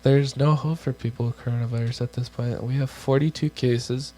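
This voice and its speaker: A man's voice: mid-range voice, steady tone, brisk, dark tone. A young man from the United States on a casual co-hosted show, reading health guidelines aloud with offhand joking asides, and he is losing his voice. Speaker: co-host.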